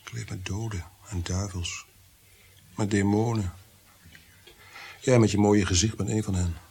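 An actor in a radio play playing a tormented, bitter, God-fearing prince-composer, brooding and theatrical, sometimes sinking to whispers. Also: male voice, lowish voice, steady tone, a little slow, vocal fry, a little mellow, slightly rough, full character voice. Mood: brooding, anguished.

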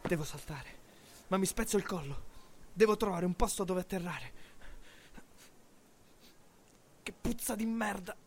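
A man's voice: sits high, lively intonation, normal speed, a little hiss or hum. A man acting a desperate, haunted fugitive rebel in a fragmented, brooding radio drama monologue. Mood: tense, urgent. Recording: music under the voice.

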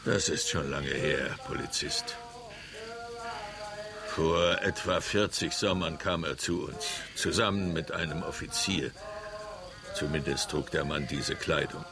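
Deep, slow, stern old chief. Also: male voice, crowd noise. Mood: bitter, stern. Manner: curt, grave, commanding. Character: stern, embittered older Osage chief in a western. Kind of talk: radio drama acting.

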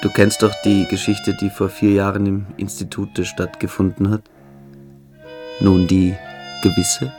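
A man playing a calculating, cruel young military-school cadet in a German radio drama, speaking with deliberate, coolly superior menace. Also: low voice, monotone, music in the background. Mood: contemptuous, confiding.

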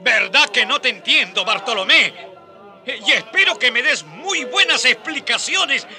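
Shrill, imperious king. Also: expressive, a little slow, bright, forceful tone, full character voice. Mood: alarmed, indignant. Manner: shrill, indignant, commanding. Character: haughty, alarmed, imperious king. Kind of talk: radio drama acting.

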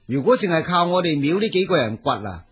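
An actor playing a worried, complaining monk who likes to persuade, in a Cantonese audio drama. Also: male voice, fairly high pitch, big pitch swings, brisk, a bit bright, slightly rough, heavily acted. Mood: grumbling. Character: grumbling, worried monk who doubts and schemes.

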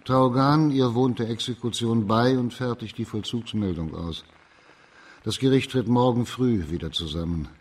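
Man acting a dignified, husky-voiced magistrate. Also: mid-range voice, close to an everyday voice. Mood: stern, official. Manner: formal, authoritative, measured. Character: stern, dignified magistrate of old China. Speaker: judge character. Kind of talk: radio drama acting.

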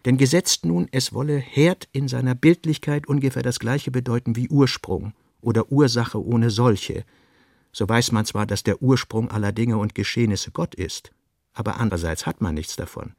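A man reading literary prose as a radio play narrator, in a measured, deliberate, essayistic manner. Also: mid-range voice, creaky, darkish tone, no echo, studio quality.

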